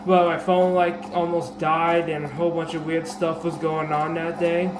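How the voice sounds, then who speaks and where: A man with a sore, dry throat and a slightly raspy voice, explaining a game trick in a casual, rambling way full of fillers and restarts, recorded on a camera mic. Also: on the high side, little pitch movement, normal speed. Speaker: gaming video creator. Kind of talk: casual game tutorial commentary. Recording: echoey room with background noise.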